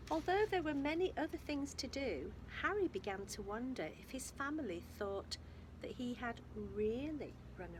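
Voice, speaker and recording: A woman reads a children's story in a slightly high, very animated voice. She is expressive and emphatic, addressing young listeners directly. There is clear background noise on the recording. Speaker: infant school storyteller. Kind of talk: reading a picture book aloud to young children, with asides.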